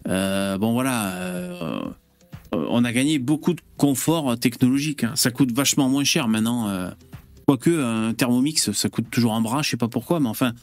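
A man's voice: mid-range voice, lively intonation, normal speed, dry recording, soft background music. A man in his forties hosting a casual live talk show, musing aloud in a conversational way, full of fillers. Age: 40s. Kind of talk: casual chat.

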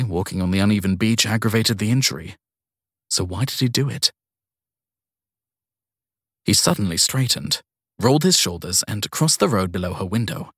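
Male narrator, husky low voice, dry close sound, scripted crime fiction reading. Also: fairly bright tone, no echo. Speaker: audiobook narrator.

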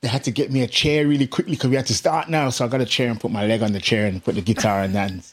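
A middle-aged English musician with a British accent touched by Jamaican Caribbean tones, telling stories casually in an interview and laughing often. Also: male voice, medium pitch, rapid-fire, slightly rough. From England. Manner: laughs often, animated anecdotes. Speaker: musician guest.

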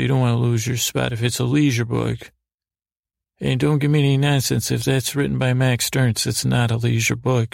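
A man with a gravelly voice hosting a sleep podcast, giving a soothing, rambling bedtime monologue that halts, repeats and wanders off mid-thought. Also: mid-range voice, no echo, clean studio sound. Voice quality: gravelly, creaky. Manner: halting, meandering, repeats and trails off mid-thought.

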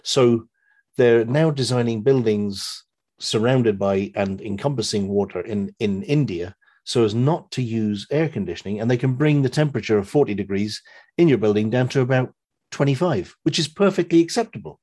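A man with a husky voice chatting casually, retelling stories in a rambling, animated way with some swearing. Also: medium pitch, normal speed. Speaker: podcast guest. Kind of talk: casual chat, retelling a story.

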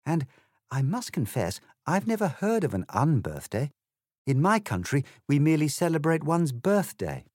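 A man's voice: fairly high pitch, sing-song, moderate pace, darkish tone, dry recording, studio quality, voice pushed up. A man voicing a prim, polite and puzzled young girl in a storybook satire. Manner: polite and proper.

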